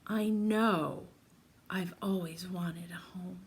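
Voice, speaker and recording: A woman's voice: on the low side, fairly even intonation, slow pace, slightly rough, voice pushed down, full character voice. A woman voicing a small, innocent male toy bear in a children's storybook, speaking simply and with wonder. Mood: contented wonder.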